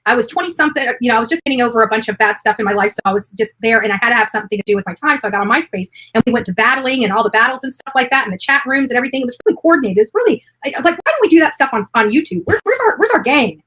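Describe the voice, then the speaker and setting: A woman's voice: fairly high pitch, animated, rapid-fire, hardly any pauses, a bit bright, low-quality recording. A woman in her early forties in casual, emphatic discussion, often repeating phrases to drive a point home. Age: early 40s. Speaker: true crime YouTuber.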